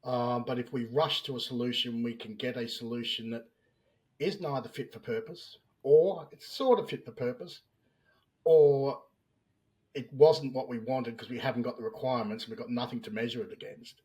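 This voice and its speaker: A man's voice: mid-range voice, steady tone, normal speed, darkish tone, low-quality recording. An Australian man in his fifties, a business analyst speaking as a podcast guest and explaining plainly with everyday examples. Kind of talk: podcast interview.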